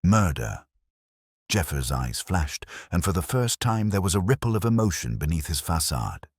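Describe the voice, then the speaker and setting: Extremely deep-voiced male story narrator. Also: big pitch swings, moderate pace, husky, dry recording, studio quality. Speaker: audiobook narrator. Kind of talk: dramatic scripted mystery narration.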